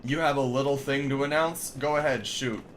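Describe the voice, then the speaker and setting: A man's voice: mid-range voice, steady tone, moderate pace, a little hiss or hum. A young man streaming a game in casual chat, rambling and swearing, laughing often, reading chat aloud and playing with a put-on accent. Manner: playfully tries out a put-on accent.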